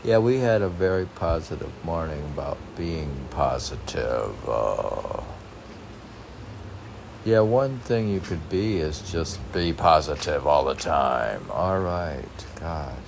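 A man's voice: extremely low pitch, lively intonation, slow, creaky. A man reading his own short poems aloud, rambling wryly and talking back to himself in casual commentary. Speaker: poet reading own work. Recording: noticeable background noise.

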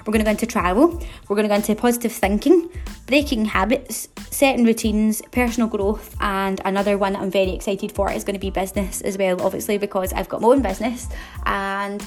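A young woman with a Glasgow accent hosts a solo podcast episode, talking in a bubbly, upbeat and chatty way. Her voice sits in a middle pitch for a woman, and faint music plays underneath. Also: steady tone, a little fast, darkish tone. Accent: Glaswegian. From Scotland. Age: 20s. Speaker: podcast host.

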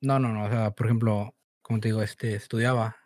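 Laid-back young Mexican Spanish guy, low and mellow. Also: level tone, fairly quick, no room sound, clean studio sound. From born in the United States, raised in Mexico. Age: 20s. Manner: laid-back, hesitant, slangy, many fillers. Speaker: guest. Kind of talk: casual chat.

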